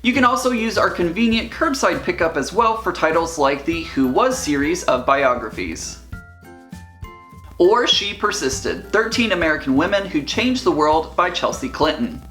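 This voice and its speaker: A woman presenter reading a scripted closing with a lively, promotional manner. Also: lowish voice, normal speed. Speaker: library presenter. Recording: echoey room with faint music.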